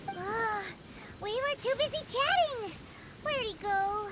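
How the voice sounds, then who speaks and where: A woman's voice: very high voice, slow, background noise. A woman voicing a small, chatty and curious childlike fairy companion in an anime video game. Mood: concerned. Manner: refers to itself in the third person.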